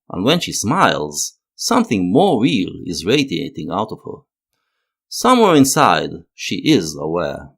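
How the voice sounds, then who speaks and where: Guy doing a video essay voiceover, lowish voice, really swoopy expressive delivery. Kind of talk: scripted analytical narration of a TV series.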